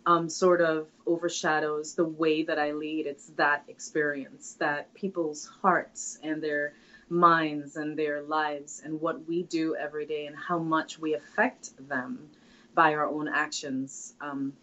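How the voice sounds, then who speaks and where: A woman's voice: sits low, flat delivery, measured pace, sharp tone. A woman, answering interview questions in an earnest, reflective way with frequent hesitations. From Trinidad and Tobago. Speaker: guest. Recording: dull, lo-fi sound.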